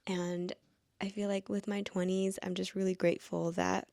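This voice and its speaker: A woman's voice: medium pitch, flat delivery, moderate pace, creaky, warm tone, no echo, clean studio sound. A woman in her late twenties musing aloud in a casual vlog, rambling with lots of "like" fillers. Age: late 20s. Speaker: vlogger. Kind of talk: reflective casual monologue.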